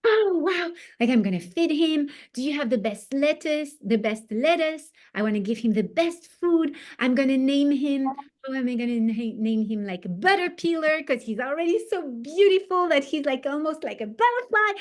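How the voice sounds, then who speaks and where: A woman voicing an excitable first-grade boy who loves insects, talking in a breathless, gushing rush. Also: high voice, normal speed, pitched above the performer's own voice. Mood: overjoyed.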